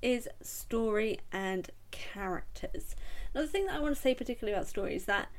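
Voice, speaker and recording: A woman's voice: a little high, lively intonation, a little fast. A British woman hosting a solo book podcast, chatting casually with a blocked nose from a cold, full of ums and little giggles. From Britain. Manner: chatty and self-deprecating, with frequent ums and giggles. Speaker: podcast host.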